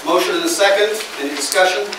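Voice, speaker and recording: A man with a Midwestern American accent leads a city council meeting as mayor, moving through agenda items in a measured, procedural way. His voice sits a little high for a man and comes from well off the mic. The room is very echoey, with a steady noise floor. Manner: measured procedural delivery with fillers. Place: council chamber. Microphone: far from the mic. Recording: roomy, distant, noisy chamber audio.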